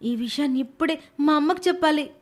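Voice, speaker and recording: A woman voicing an earnest little girl who is loyal to her father, in an animated moral story. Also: high voice, quick pace, natural voice. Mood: indignant, earnest.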